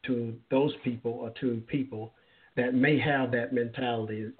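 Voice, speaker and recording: A man hosting an interview, asking questions in a halting, affirming way and often repeating words. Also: mid-range voice, steady tone. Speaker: show host. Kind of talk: casual interview talk. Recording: tinny phone-like line.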